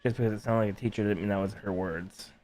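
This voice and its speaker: A man's voice: on the low side, fairly even intonation, quick pace, a little mellow. A man casually reacting to a game story as a streamer, in short muttered fragments.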